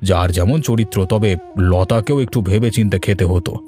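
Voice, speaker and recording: A man playing a generous, easygoing village gentleman in a cartoon, speaking in an unhurried, placating way. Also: low-pitched, close to an everyday voice. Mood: concerned. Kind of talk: Bengali cartoon dialogue. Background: background music.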